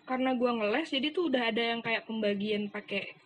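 A woman's voice: on the high side, a little fast, a little hiss or hum. A young woman, chatting casually and telling stories in slangy speech. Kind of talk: casual chat.

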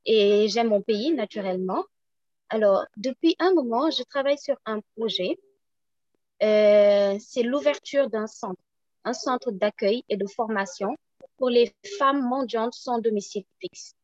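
A woman from Senegal with a Senegalese French accent, speaking as a guest and making an earnest, measured appeal for support. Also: a little high, darkish tone, no room sound, dull audio. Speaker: guest, project founder.

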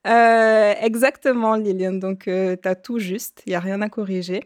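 A woman's voice: medium pitch, fairly even intonation, measured pace. A woman of about thirty from French Guiana and northern Brazil, a native Portuguese speaker, telling her story conversationally as an interview guest. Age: around 30.

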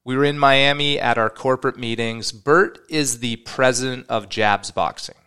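A man's voice: medium pitch, moderate pace, sharp tone, clean tone. A man hosting a podcast, opening the episode with enthusiasm and then asking questions in an upbeat, slightly rambling way. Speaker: podcast host. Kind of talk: podcast intro and interview.

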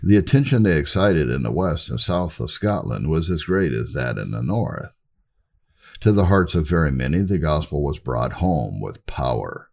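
A man narrating a scripted history audiobook in a formal, measured, oratorical way. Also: rumbling low, sing-song, gravelly, a little mellow. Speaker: narrator reading church history.

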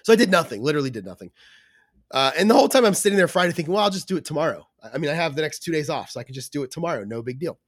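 A man with a somewhat high voice made scratchy by a cold talks in loose, rambling podcast chat, full of fillers and restarts. The sound is dry and close, with no room around it. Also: lively intonation, very fast, no echo. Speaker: podcast co-host. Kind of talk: casual chat.

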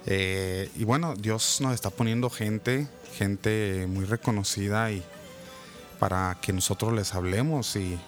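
A man with a somewhat low voice hosts a live radio interview. He talks in a relaxed, chatty way, sprinkling in religious remarks. Soft music runs faintly behind him. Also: unhurried. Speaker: radio host and music producer.

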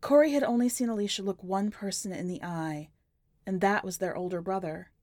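A woman reads fiction in a scripted, dramatic storytelling style. Her voice sits at a middle pitch, and the recording is dry, with no room around it. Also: brisk, darkish tone, no room sound. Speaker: podcast editor reading a short story.

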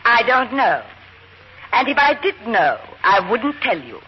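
A woman's voice: on the high side, sing-song, unhurried, a little hiss or hum. An actress playing a cool, defiant young woman under interrogation in an old radio drama. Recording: muffled old radio broadcast, voice set back from the mic.

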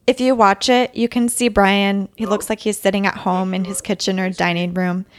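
A woman's voice: mid-range voice, flat delivery, moderate pace. A woman hosting a podcast, telling a story in scripted narration with a conversational turn. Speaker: podcast host.

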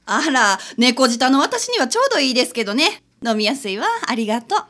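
A woman's voice: on the high side, very animated, brisk, bright, forceful tone. A woman playing a prim, haughty and easily offended client in a radio drama. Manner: prim, polite but huffy. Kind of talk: community radio drama acting.

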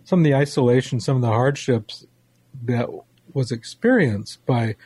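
An American man in his sixties talks in a mellow, relaxed voice with some huskiness. He sounds like a talk show co-host sharing memories in a conversational way. The recording is dry and close. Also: medium pitch, moderate pace, slightly rough, no room sound. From United States. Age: 60s.

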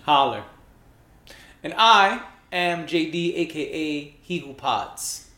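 A man's voice: on the high side, animated, slow, bright, forceful tone, echoey room. A man co-hosting a podcast in casual chat, full of joking, teasing banter. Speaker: podcast co-host.

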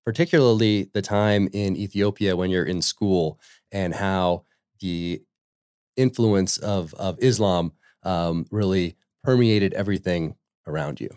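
A man with a very low voice hosts a podcast and speaks in a dry, close-up sound. He reads his introduction earnestly. When he asks questions he stumbles and restarts, filling the gaps with fillers. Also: a little slow, a little mellow, clean tone, dry recording. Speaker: podcast host.

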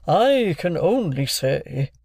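Man doing a slow, pompous, flustered Englishman. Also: fairly high pitch, big pitch swings, creaky, a little mellow, dry recording, clean studio sound, voice pushed up, heavily acted. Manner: slow, hesitant, pleading. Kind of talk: classic novel narration, character voice.

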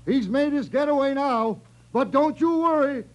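A man's voice: extremely high pitch, expressive, normal speed, lo-fi sound. An actor in a 1950s radio comedy playing an earnest, overzealous village night watchman, officiously calling out. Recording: noisy old radio recording.

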